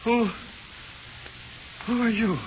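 A man acting a tough jailed crook who is terrified of the dark, with slangy American speech, in 1940s radio drama. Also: extremely high pitch, fairly even intonation, slow. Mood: panicked, pleading. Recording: dull, noisy 1940s radio recording.

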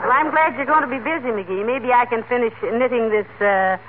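An actress playing a patient middle-aged wife with dry, teasing wit in a 1940s radio comedy. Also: female voice, a little high, fairly quick, lightly acted. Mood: gently teasing. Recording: tinny, very noisy 1940s radio broadcast.

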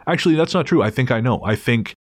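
A man's voice: mid-range voice, animated, fast, dry recording, clean studio sound. A man hosting a podcast, talking in a thoughtful, candid, self-deprecating way. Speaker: podcast host. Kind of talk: casual podcast chat and read-out story.

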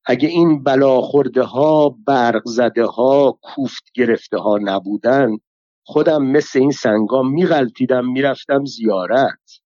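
A man's voice: mid-range voice, warm tone, dry recording, natural voice. Man doing a foul-mouthed old pilgrim woman, cursing, bitter, dry close sound, slightly muffled. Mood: scolding. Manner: cursing, complaining torrent of folk sayings. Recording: slightly dull lo-fi dry recording.

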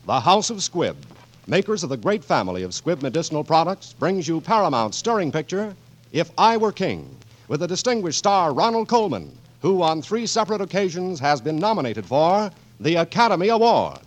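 A man's voice: on the high side, big pitch swings, moderate pace, low-quality recording. A man announcing formally in a proclaiming 1940s sponsored radio style. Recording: old radio recording, loud music behind.

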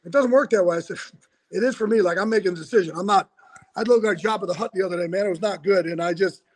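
Raspy, high-voiced man on a call. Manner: loose and quick, with lots of fillers and "like". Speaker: interview guest, football insider. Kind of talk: casual interview chat. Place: at home.

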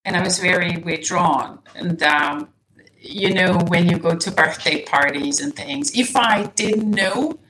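A woman from Serbia whose native language is Serbian, a podcast guest speaking in a casual interview, soft-spoken and hesitant, restarting often and laughing lightly. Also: fairly low pitch, unhurried, rough recording. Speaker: guest, nutrition coach.

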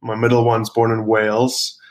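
Rambling South African-born man, lower voice. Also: monotone, moderate pace. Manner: rambling, many fillers and restarts. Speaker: rugby player guest. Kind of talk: casual interview chat.

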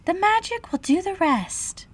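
A man voicing gentle little fairy sisters in a high, breathy whisper. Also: lively intonation, measured pace.

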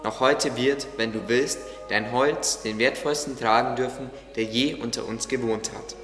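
A devout gardener played by a man in a radio play, solemn, fond and preaching. His forceful, bright voice carries in a long reverb with music underneath. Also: medium pitch, fairly even intonation, measured pace, natural voice. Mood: urgent, solemn. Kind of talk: religious radio play acting.

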